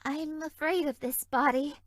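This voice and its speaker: Very high, sweet naive fairy girl. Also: fairly even intonation, a little slow, dry recording, studio quality. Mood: bewildered, anxious. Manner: bubbly, innocently suggestive, airy. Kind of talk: video game character dialogue.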